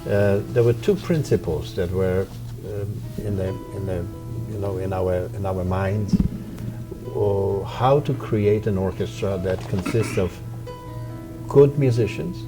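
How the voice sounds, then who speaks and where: Man with a Polish accent, fairly low voice, measured and reflective, music playing behind. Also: low-quality recording. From Poland. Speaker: violin professor and orchestra co-founder. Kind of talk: interview answers.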